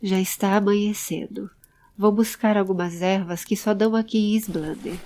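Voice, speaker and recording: Woman voicing a serious, mellow male adventurer. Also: mid-range voice, moderate pace, close to an everyday voice. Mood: steady, reassuring. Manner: grave, calm, instructing. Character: serious, pensive male adventurer, protective and controlled. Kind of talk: audio drama character acting.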